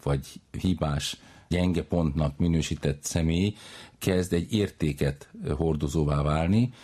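A man talking as a psychologist in an interview, explaining in long, winding, deliberate sentences. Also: very deep voice, dark tone, slightly rough.

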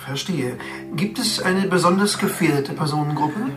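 An actor playing a halting, flustered reporter asking questions at a radio drama press briefing. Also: male voice, on the high side, far from the mic, music in the background, lightly acted. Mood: hesitant, surprised. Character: hesitant male reporter questioning police.

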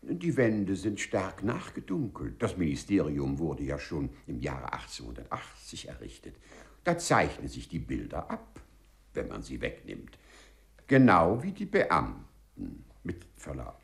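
A man's voice: a bass voice, huge pitch swings, measured pace, vocal fry, exaggerated voice. An actor in a 1950s German radio play, playing a mischievous, outwardly servile male ministry clerk with a theatrical manner. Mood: servile, polite. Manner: theatrical, obsequious and mocking by turns.

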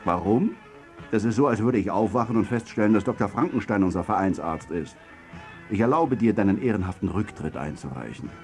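An actor playing a stern football club boss in a radio drama, speaking with cold, cutting deliberation. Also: male voice, lowish voice, expressive, brisk, darkish tone, soft background music. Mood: sarcastic, imperious.

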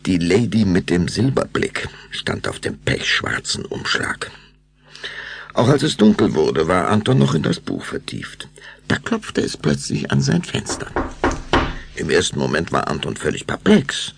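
A man with a gravelly, husky voice narrating a children's audio drama in a dramatic storytelling manner. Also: low-pitched, huge pitch swings, measured pace, slight background noise.

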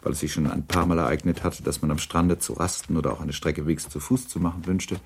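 Deep-voiced male radio-drama narrator. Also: fairly even intonation, brisk, slight background noise.

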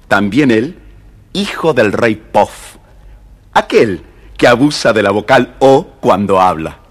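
Husky, low-voiced male storyteller. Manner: dramatic, addressing young listeners. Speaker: narrator. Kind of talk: scripted children's storytelling.

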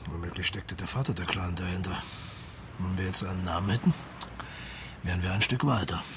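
Brooding sceptical police marshal. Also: male voice, sits low, fairly even intonation, fairly quick, background noise. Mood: pensive, sceptical. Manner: brooding, thoughtful, questioning. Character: pensive, sceptical police marshal working a case. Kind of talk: radio crime drama acting.

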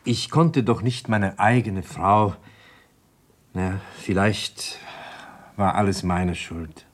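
Guilt-ridden doctor, low-voiced. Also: male voice. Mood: resigned, anguished. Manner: halting, weary confession. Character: resigned, guilt-ridden doctor, husband of a suspect. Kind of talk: 1960s German radio crime drama acting.

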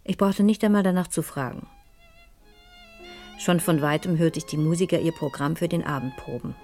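A woman's voice: sits low, moderate pace, warm tone, faint music behind. An actress playing a determined, inquisitive woman sleuth in a radio crime drama, speaking formally and probingly. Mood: pensive, tense. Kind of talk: radio crime drama, dialogue and first-person narration.

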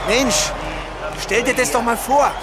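An actor playing an exuberant, proud German engineer in a radio play. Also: male voice, extremely high pitch, a little slow. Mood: excited, triumphant. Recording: loud cheering crowd and street noise.

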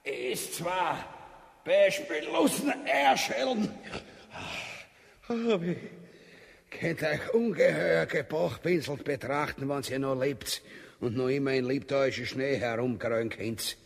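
A boastful, cowardly bureaucrat with an Austrian accent in a comic radio drama. His voice sits fairly high and speaks in pompous, mock-solemn, long-winded style. Long reverb hangs around it. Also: lively intonation, slow pace, creaky, exaggerated voice. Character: pompous, cowardly, boastful finance magister among soldiers. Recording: studio radio play with long hall reverb.

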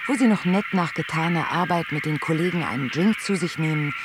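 An actor playing an ironic narrator who observes rootless managers in clipped, list-like radio drama phrases. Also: male voice, on the high side, a little fast, very noisy, natural voice. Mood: restless. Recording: music behind the voice.

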